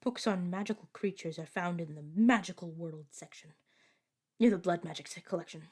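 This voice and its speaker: A woman playing a soft-spoken, helpful clerk in an audio roleplay. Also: fairly low pitch, a little fast, close to an everyday voice. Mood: polite, focused. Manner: polite, hushed, helpful. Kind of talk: narrative audio roleplay acting.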